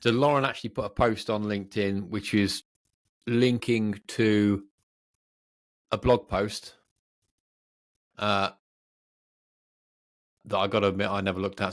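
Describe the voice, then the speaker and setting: A man's voice: on the low side, steady tone, a little slow, pauses often. A man co-hosting a podcast, talking in a casual, informal way and thinking aloud as he goes. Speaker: podcast co-host.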